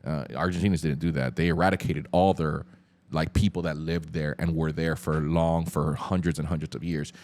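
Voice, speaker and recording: Miami guy in his 30s, cuban-ish accent, real low voice, chill slangy podcast talk. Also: flat delivery, fairly quick, darkish tone. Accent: Miami Cuban-influenced English. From Miami, USA. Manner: loose, slangy, lots of fillers. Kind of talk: casual podcast chat.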